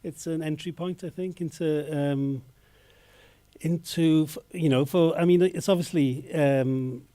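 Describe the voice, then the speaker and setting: A filmmaker speaks at a festival Q&A in a fairly high but mellow voice. He stops often, restarts his sentences and searches for words. The sound is close and dry. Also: fairly even intonation, measured pace, no echo. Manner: hesitant, many fillers and restarts. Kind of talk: festival Q&A answers. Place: cinema Q&A stage.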